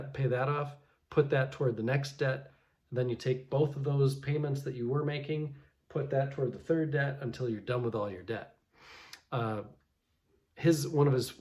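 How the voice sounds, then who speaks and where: A man's voice: mid-range voice, a little mellow. A man giving a casual devotional reflection as a pastor, talking loosely with many restarts. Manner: conversational, many restarts and fillers.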